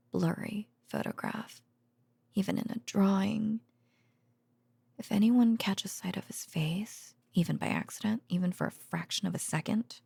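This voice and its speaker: A gentle researcher girlfriend, acted by a woman talks softly in an intimate ASMR audio roleplay. Her voice is dark, mellow and husky, often slipping into a whisper. She speaks haltingly, very close and dry. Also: mid-range voice, dry recording, heavily acted. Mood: hushed, eerie. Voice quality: soft, breathy, often dropping to a whisper. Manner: hesitant, halting, murmuring. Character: gentle female researcher girlfriend murmuring secret work stories at bedtime.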